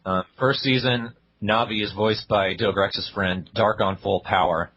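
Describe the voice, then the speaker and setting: A man's voice: on the low side, fairly even intonation, unhurried, a bit bright, highs cut off. A young man co-hosting a casual podcast, reviewing web videos in a blunt, dry way. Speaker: podcast co-host.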